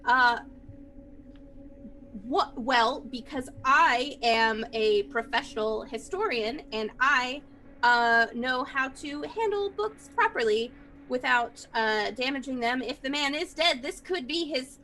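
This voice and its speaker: A woman playing a tabletop roleplaying game, improvising in character in a halting, particular way. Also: sits high, expressive, bright, forceful tone. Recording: faint background noise.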